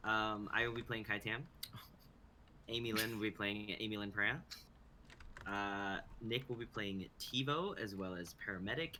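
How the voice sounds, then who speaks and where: A man's voice: on the low side, sharp tone, slight background noise. A young man chatting casually as a regular on a writers' live stream. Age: young adult. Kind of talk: casual chat.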